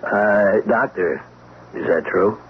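Low-voiced, folksy police lieutenant. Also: male voice, slow. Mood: reluctant, insistent. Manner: casual, hemming, slangy. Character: affable, hesitant male police lieutenant, folksy but probing. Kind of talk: radio drama acting. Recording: tinny band-limited radio, clear noise.

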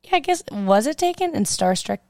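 A woman's voice: high-pitched, sing-song, a little fast, gravelly, a little mellow, no room sound, clean studio sound. A woman in her twenties co-hosting a podcast, teasing and playful in casual chat. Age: 20s. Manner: teasing, playful, laughs easily. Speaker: podcast co-host.

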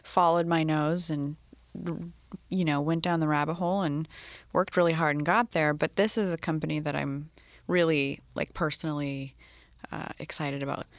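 A woman's voice: low-pitched, steady tone, moderate pace, no echo. A woman hosting an interview, speaking in an upbeat, casual way with frequent fillers. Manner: upbeat, casual, uses "like" often. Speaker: interviewer host.

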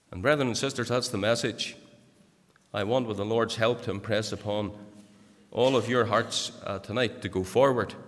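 A man's voice: medium pitch, fairly bright tone. An older man with a Northern Irish accent preaching an earnest sermon in a church, rising to emphatic exhortation. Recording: church hall reverb.

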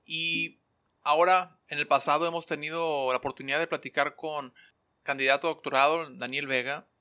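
A man hosts an interview podcast. His voice sits a little high and is clearly bright and forceful. He addresses his guest formally and courteously. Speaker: podcast host.

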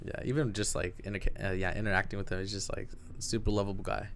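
A man with a fairly low voice chats in a laid-back, slangy way on a podcast. He laughs often and keeps it loose. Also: little pitch movement, fast talker, vocal fry, a little hiss or hum. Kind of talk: casual chat.

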